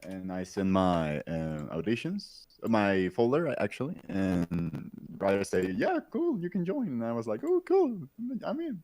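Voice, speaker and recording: A man composer with a very low voice, chatting casually on a podcast call, laughing often and pausing on fillers. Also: huge pitch swings, darkish tone, dry recording. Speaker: composer on the team.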